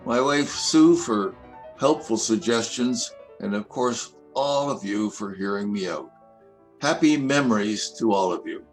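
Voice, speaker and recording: An older man in his seventies reading his own poems aloud, with measured, expressive recitation. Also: on the low side, rough recording. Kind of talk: poetry reading at an event.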